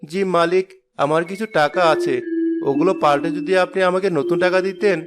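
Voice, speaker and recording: A man voicing a poor, kind-hearted father who works as a cart pusher in a cartoon, talking in a humble, soft-spoken way. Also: on the high side, fairly quick, natural voice.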